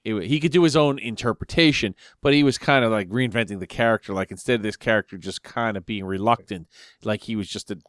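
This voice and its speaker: A man's voice: on the low side, fast, no echo, studio quality. A man co-hosting a show, chatting in an informal, jokey way as a fan. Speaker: podcast co-host. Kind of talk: casual fan banter.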